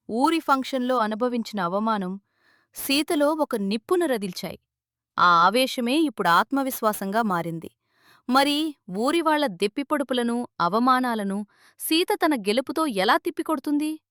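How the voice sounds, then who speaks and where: A woman narrating an animated drama story in a dramatic, expressive way. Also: a little high, no room sound, clean studio sound.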